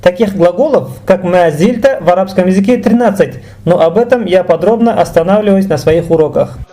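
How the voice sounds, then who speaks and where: High-voiced, mellow man giving a lesson. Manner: deliberate, explanatory phrasing. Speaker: Arabic language teacher. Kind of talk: instructional explanation and translation.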